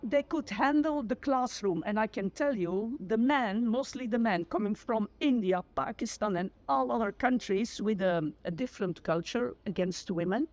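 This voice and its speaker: A woman's voice: on the high side, normal speed, few pauses, darkish tone. Flemish woman in her sixties, a retired teacher and Dutch native; earnest, sincere, self-correcting as she goes. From Flanders, Belgium. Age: 60s. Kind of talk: personal testimony to camera.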